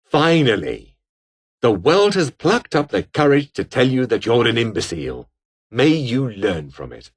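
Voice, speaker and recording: Haughty British rebel officer, swooping intonation. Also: male voice, mid-range voice, sing-song, unhurried, breathy edge. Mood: scornful. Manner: pompous, sneering, clipped formal phrasing. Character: haughty, bitter, scheming fallen-aristocrat rebel officer. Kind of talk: video game character dialogue.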